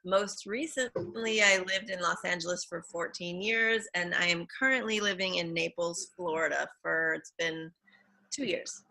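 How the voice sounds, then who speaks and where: A woman's voice: fairly low pitch, steady tone, moderate pace, vocal fry, sharp tone, poor audio. A woman in her thirties from Brazil, a guest in a casual interview, friendly and upbeat, laughing easily. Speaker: guest mother.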